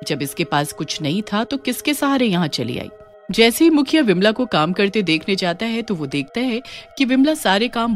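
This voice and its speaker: A woman storyteller reading a scripted horror story narration. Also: lowish voice.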